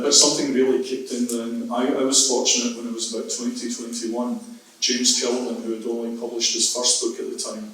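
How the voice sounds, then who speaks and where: A man's voice: medium pitch, fairly bright tone, a little hiss or hum. A man in his fifties with a Scottish accent, a writer talking thoughtfully and anecdotally at a literary event. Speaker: writer on a panel. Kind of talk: reflective conversation at a literary event. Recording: echoey room, mic far off.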